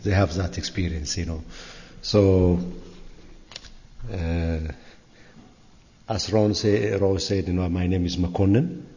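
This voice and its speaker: An older man with an Ethiopian accent speaks in a deep voice at a slow pace. His church talk is informal and halting, sprinkled with small conversational asides, and it echoes in a reverberant hall. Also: steady tone, warm tone, highs cut off. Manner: halting, conversational, frequent "you know". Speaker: guest speaker, church elder. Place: large indoor church sanctuary.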